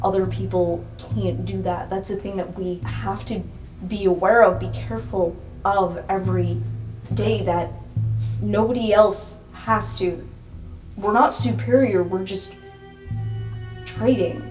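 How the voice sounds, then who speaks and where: A woman's voice: medium pitch, fairly even intonation, measured pace, a little mellow, soft background music, rough recording. A woman chatting casually as a player in an improvised roleplay game, thinking aloud with some hesitation.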